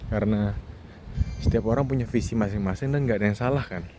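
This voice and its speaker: A man's voice: lowish voice, quick pace, mellow. A man of thirty, talking casually and reflectively to himself as a podcast host on a walk outdoors. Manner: informal, reflective, self-talking. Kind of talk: casual walking monologue, vlog. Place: outdoors on a campus football field. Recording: noisy outdoor ambience. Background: clear outdoor background noise.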